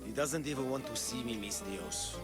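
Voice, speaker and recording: An actor playing a guilt-ridden, shaken old caretaker who speaks slowly and haltingly after torture. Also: male voice, mid-range voice, loud music behind the voice, natural voice. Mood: grieving. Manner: slow, halting, mournful. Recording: orchestral game score underneath.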